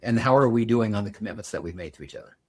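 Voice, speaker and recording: Husky older man, reflective host. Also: fairly low pitch, darkish tone. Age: 70s. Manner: reflective, measured storytelling. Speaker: podcast host. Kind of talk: casual podcast conversation.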